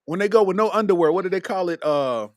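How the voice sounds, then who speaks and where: A man from Kentucky hosts a comedy podcast in a loose, easygoing way. His voice sits high for a man. The sound is dry and close, with no room echo. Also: expressive, quick pace. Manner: laid-back, repeats "you know what I'm saying". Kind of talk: casual comedic podcast chat.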